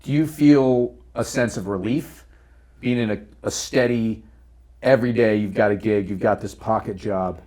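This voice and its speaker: A man's voice: lowish voice, normal speed, darkish tone. A man hosting a podcast, chatting casually and asking questions in a joking, conversational way. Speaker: podcast host. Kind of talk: casual interview chat.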